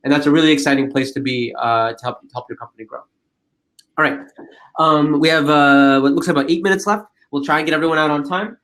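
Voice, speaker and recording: A man's voice: a little high, fast, fairly bright tone. A man hosting a webinar in a conversational, informal way, restating his points for emphasis. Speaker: webinar host and moderator.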